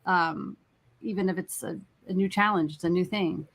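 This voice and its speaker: A woman's voice: lowish voice, steady tone, moderate pace. A woman hosting an interview in a casual, conversational style. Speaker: interview host.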